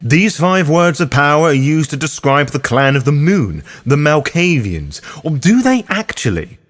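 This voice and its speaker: A man's voice: fairly high pitch, sing-song, unhurried, a bit bright. A man hosting a scripted guide, narrating in a theatrical, playful way with wry asides. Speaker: host of a roleplaying lore guide series. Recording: music behind the voice. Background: background music.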